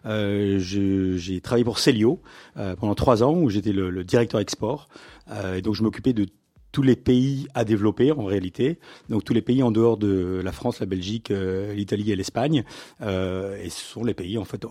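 A middle-aged man, a business owner, being interviewed and telling his career story in a relaxed conversational way, with frequent fillers and restarts. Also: fairly low pitch, normal speed. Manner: hesitant, many fillers and restarts, livens up when amused.

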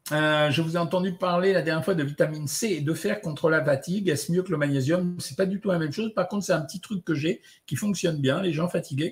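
A middle-aged man, a doctor answering viewer questions in a casual, chatty way, with many fillers. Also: on the high side. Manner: relaxed, chatty, lots of "euh" and "hein". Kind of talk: casual live Q&A, reading out comments.

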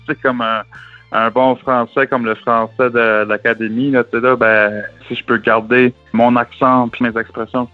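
A man's voice: medium pitch, no room sound. A young man from northern New Brunswick with an Acadian French accent, chatting loosely as a musician guest and mixing English words into his talk. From northern New Brunswick, Canada. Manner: relaxed, loose, many fillers, English words mixed in. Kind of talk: casual interview answers. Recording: tinny phone-like, dry, faint music.

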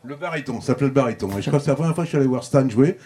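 A man in his sixties with a Parisian accent, a blues harmonica player telling animated, laughing anecdotes as a guest in a casual radio interview. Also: mid-range voice, rapid-fire. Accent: Parisian French.

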